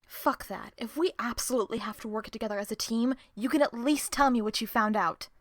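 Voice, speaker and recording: A woman acting in an audio drama as a sharp-tongued, distrustful team member who swears and confronts people. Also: fairly high pitch, fairly quick, no echo, close to an everyday voice. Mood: curt, demanding. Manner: profane, confrontational, sarcastic. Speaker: voice actor in an audio roleplay.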